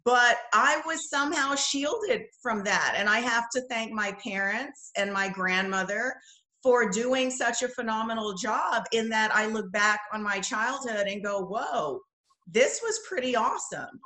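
A woman's voice: on the high side, measured pace, bright, forceful tone. A woman from the Bahamas telling personal stories conversationally as a podcast guest. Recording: roomy, echoing sound.

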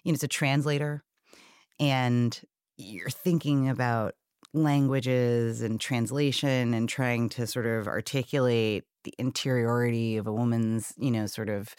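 A woman hosting a podcast interview, chatty and expressive, steering the guest with questions. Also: rumbling low, measured pace, clear, resonant tone, no echo, studio quality. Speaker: podcast host, publisher.

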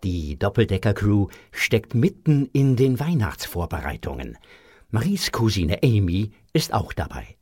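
A man's voice: lowish voice, big pitch swings, measured pace, dark tone, breathy edge. A man narrating a scripted audio drama for children.

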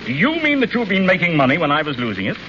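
A man's voice: on the high side, wildly swooping pitch, rapid-fire. A blustering, fed-up rich father. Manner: gruff, blustering, sarcastic. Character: exasperated, bankrupt wealthy father. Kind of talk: 1930s radio acting. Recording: muffled old radio broadcast with faint hiss.

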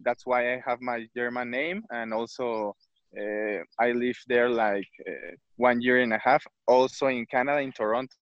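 A footballer from Mexico, speaking English with a Mexican Spanish accent, hesitant and searching for words. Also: male voice, mid-range voice, fairly even intonation, moderate pace, fairly bright tone, dry recording, lo-fi sound. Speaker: football player. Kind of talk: interview.

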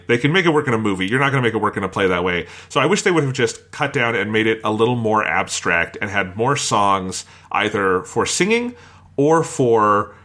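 A man's voice: lowish voice, sharp tone. Opinionated man hosting a casual review discussion. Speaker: podcast host and reviewer.

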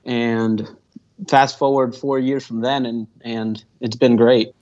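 A man's voice: mid-range voice, little pitch movement, takes it slowly, darkish tone. Young man, rambling earnestly. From Venezuela. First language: Spanish. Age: 20s. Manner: earnest, rambling, with many fillers and restarts. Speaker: guest pilot. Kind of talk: casual interview answers. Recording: dull muffled audio.

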